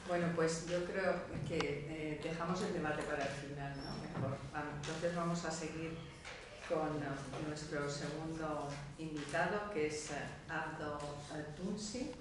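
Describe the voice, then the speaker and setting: A man moderating a round-table panel, introducing the next guest in a measured, hesitant way with fillers. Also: a little high, steady tone, noisy background. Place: lecture room. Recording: echoey hall, distant mic.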